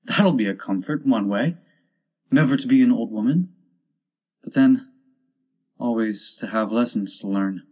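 A man's voice: mid-range voice, a little slow, pauses often, a little mellow, husky. A man voicing a curious young girl who muses and questions herself in a storybook. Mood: musing. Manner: wondering, self-questioning.

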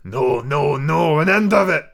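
A cornered old sea captain, gruff and blustering, voiced by a man. He barks his lines loud and raised in pitch, pushing back hard. It plays like a storybook reading. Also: expressive, normal speed, heavily acted. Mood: furious. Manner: barked, blustering outbursts.

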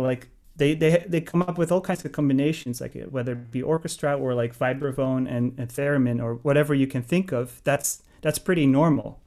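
A man's voice: medium pitch, darkish tone. A man, a jazz pianist and composer chatting in an interview, thoughtful and full of restarts. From Netherlands. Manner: thoughtful, rambling with many restarts and fillers. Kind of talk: casual interview chat.

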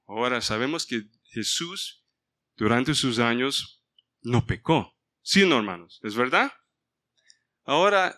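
Bright-voiced man leading a Bible study. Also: medium pitch. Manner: mixes in English words, stops to ask the group for help. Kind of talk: informal Bible study sermon.

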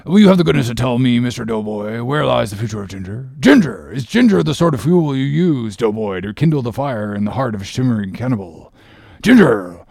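A man voicing a loud, mocking sailor mate, full of sarcastic bluster. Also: mid-range voice, animated, a little fast, creaky, no echo, studio quality. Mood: indignant.

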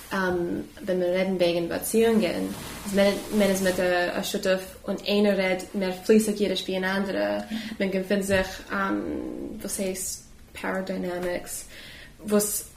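A woman's voice: mid-range voice, flat delivery, normal speed, a little mellow, reverberant, slight background noise, poor audio. A woman speaking hesitantly in a casual group discussion, stopping often and restarting her sentences. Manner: hesitant, many fillers and restarts.

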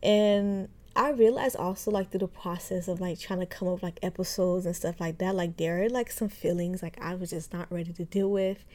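A woman's voice: lowish voice, fairly even intonation, a little fast, few pauses, warm tone. A woman in her twenties from Haiti, hosting a casual podcast intro and talking chattily with many fillers. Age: 20s. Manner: chatty, many fillers like "like" and "um", frequent light laughs. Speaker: podcast host.

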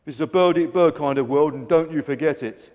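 A man's voice: high-pitched, animated, moderate pace, darkish tone, long reverb tail, lo-fi sound. A man voicing a big, greedy gannet for a children's story, screeching defiantly and refusing to share. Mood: fierce, defiant. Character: greedy bullying gannet, big, brash and unrepentant.

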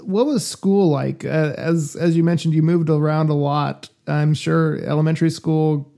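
Gravelly, mellow-voiced male interviewer. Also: on the high side, steady tone, normal speed. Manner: encouraging, with short affirmations. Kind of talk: conversational interview.

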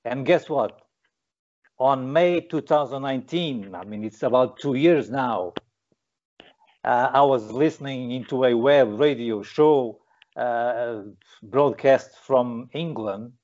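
A man's voice: medium pitch, slow pace, a little mellow. A man from Portugal interviewing a guest, hesitant with many fillers and restarts. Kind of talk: video interview. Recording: dull lo-fi audio.

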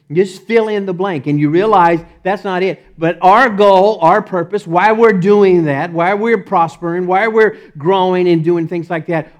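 A man with a Texas accent preaching a sermon as the pastor, emphatic and repetitive, often breaking into short laughs and swinging between loud and soft. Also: on the high side. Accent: Texan.

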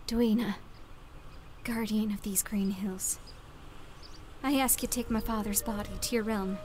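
A woman playing a grieving young barmaid in a fantasy audio drama, praying slowly and reverently. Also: mid-range voice, steady tone, darkish tone, a little hiss or hum, natural voice. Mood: solemn, grieving. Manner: solemn, slow, reverent. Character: grieving human daughter praying solemnly for her father. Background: music.

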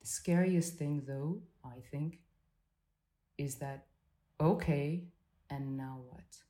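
Low, mellow-voiced woman therapist. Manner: halting, many restarts and fillers, thoughtful. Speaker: psychotherapist guest. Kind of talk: reflective conversational interview.

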